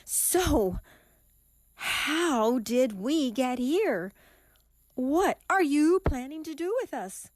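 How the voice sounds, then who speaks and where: A woman playing an earnest, bewildered group member in an audio mystery drama, speaking in a halting, questioning way. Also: high-pitched, lively intonation, dry recording, full character voice. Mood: uneasy, questioning.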